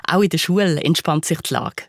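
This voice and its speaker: A woman's voice: low-pitched, brisk, no room sound, studio quality. A woman with a Swiss German accent narrates a scripted children's story in a playful, vivid way, with conversational asides to listeners.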